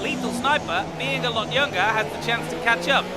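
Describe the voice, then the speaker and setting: A man playing a very high-voiced race commentator. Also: over loud music, a loud crowd. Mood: steady. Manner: excitable play-by-play, rising on fast laps. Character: male lead motorsport commentator. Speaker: play-by-play commentator. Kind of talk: fan-made motorsport commentary.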